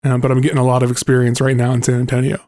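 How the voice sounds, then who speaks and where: A young man co-hosting a podcast, reasoning things through aloud in a relaxed, thoughtful way. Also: mid-range voice, very fast. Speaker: podcast co-host. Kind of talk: casual podcast conversation.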